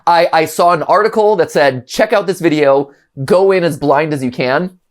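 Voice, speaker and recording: A man's voice: on the high side, normal speed. A man from Ohio in his thirties, hosting a casual reaction show and rambling animatedly, with frequent restarts and chuckles. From Ohio, USA. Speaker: host.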